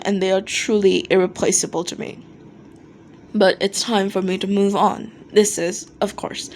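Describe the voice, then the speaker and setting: A woman's voice: lowish voice, vocal fry, darkish tone. A woman voicing a blunt, foul-mouthed but apologetic male rock singer in a fan fiction reading. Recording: noticeable background noise.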